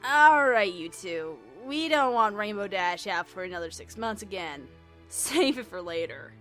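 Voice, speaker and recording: A woman's voice: mid-range voice, lively intonation, a little slow, fairly bright tone, faint music behind. A woman voicing a teasing grown female cartoon pony in a fan audio drama. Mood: playful teasing.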